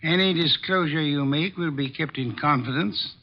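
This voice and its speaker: A dull vintage radio recording carries a male actor as an imperious private detective. His voice sits a little high for a man and has plenty of gravel. He questions people formally and deliberately, with shrewd precision. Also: natural voice. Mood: measured, probing. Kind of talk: 1940s-50s radio detective drama acting.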